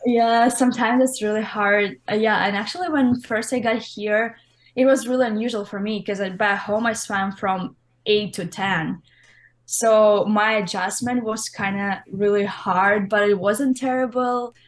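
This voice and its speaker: A woman's voice: mid-range voice, steady tone, measured pace. A young woman from Russia with a Russian accent, giving casual, loosely phrased interview answers as a college swimmer. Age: young adult. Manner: informal, loosely phrased, frequent "like".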